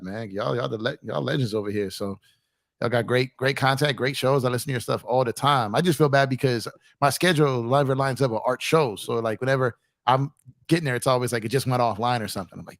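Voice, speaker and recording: An American man hosts a casual sports stream, with a hint of New York in his speech. He talks in slangy, animated, repetitive runs. The sound is very dry, with no room to it. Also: medium pitch, fast talker, dry recording. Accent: American with a touch of New York. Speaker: sports talk show host. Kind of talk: casual sports chat on a livestream.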